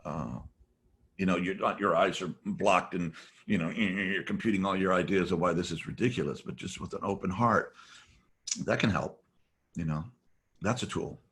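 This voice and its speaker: A man's voice: low-pitched, moderate pace, a bit bright, breathy edge. A middle-aged man, a podcast guest, talking reflectively and rambling, with many restarts and "you know"s. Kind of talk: reflective conversational talk.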